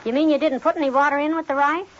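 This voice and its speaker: A woman's voice: high-pitched, steady tone, racing, natural voice. An actress playing a long-suffering middle-aged American wife in 1950s radio comedy, affectionately scolding in a dry, motherly way. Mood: exasperated. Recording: dull, noisy 1950s radio recording.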